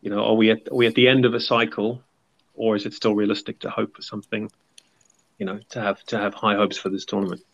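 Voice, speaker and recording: A man's voice: on the low side, flat delivery, quick pace, vocal fry, a bit bright. A man, a podcast guest, chatting casually and rambling with many fillers and hedges. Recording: dull lo-fi sound.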